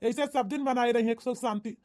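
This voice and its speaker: A woman's voice: fairly high pitch, normal speed, a little mellow, natural voice. A woman voicing plain-spoken villagers in a family comedy cartoon, with an emphatic, declamatory Awadhi-accented delivery. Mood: pleased, reassuring. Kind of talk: exaggerated cartoon comedy dialogue.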